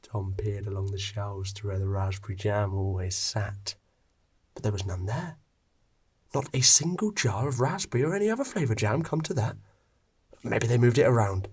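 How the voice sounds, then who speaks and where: A man narrating a scripted story expressively, with dramatic pauses. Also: on the low side, lively intonation, a little mellow, husky.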